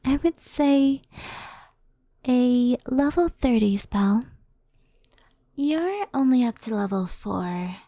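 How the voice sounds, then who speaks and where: A woman playing a gentle, wistful fox spirit in an ASMR roleplay, speaking softly and often in a whisper, close to the microphone. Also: a little high, expressive, no echo, exaggerated voice. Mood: calm, explaining. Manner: soft-spoken, often whispery, unhurried explaining. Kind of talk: ASMR roleplay, soft storytelling. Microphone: close headphone ASMR microphone.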